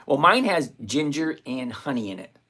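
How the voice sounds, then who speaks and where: A man hosting a live stream, talking through his options trades out loud and answering viewers by name in a chatty way from a home desk full of screens. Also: medium pitch, big pitch swings, normal speed, breathy edge. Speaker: livestream host and options trader. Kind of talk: live-stream trading commentary, reading chat aloud.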